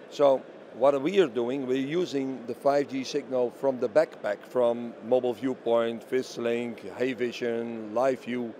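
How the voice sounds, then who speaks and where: A man's voice: mid-range voice, mellow. A middle-aged man being interviewed at a trade show, explaining products and often answering his own questions. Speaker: company representative being interviewed. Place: busy trade show hall booth. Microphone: handheld interview microphone held by interviewer. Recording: faint bustling exhibition hall noise.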